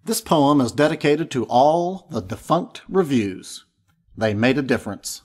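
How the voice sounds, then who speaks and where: A man recites poetry in short phrases. His intonation is lively and rises and falls noticeably. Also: medium pitch, a little slow. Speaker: poetry reader.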